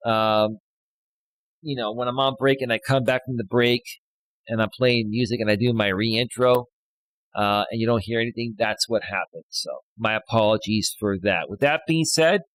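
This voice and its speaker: A loud-voiced man in his thirties talks to his live-stream viewers in a loose, rambling way, full of fillers and "right?" tags. His pitch sits in an ordinary middle range. He is close on a studio mic in a dry space with no room sound. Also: normal speed, no echo, rough recording. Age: 30s. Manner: chatty, filler-heavy, keeps adding "right?". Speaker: life coach hosting a live stream. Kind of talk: casual live-stream talk, reading chat posts. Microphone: studio dynamic microphone.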